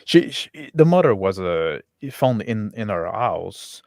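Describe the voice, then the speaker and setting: Man, game master, improvised roleplay, creaky low voice, stop-and-start phrasing, dry sound. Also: sing-song, a little mellow, dry recording. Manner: halting, repeats and rephrases words. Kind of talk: improvised in-character tabletop roleplay.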